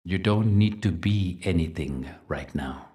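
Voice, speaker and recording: Male meditation guide, low-pitched, gentle and deliberate storyteller, miked from slightly far off. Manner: gentle, deliberate, soothing delivery with dramatic pauses.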